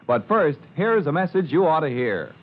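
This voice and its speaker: A man's voice: fairly high pitch, sing-song, moderate pace. A man narrating a scripted serial as an announcer in an old-fashioned radio style. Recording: thin, narrow vintage radio recording.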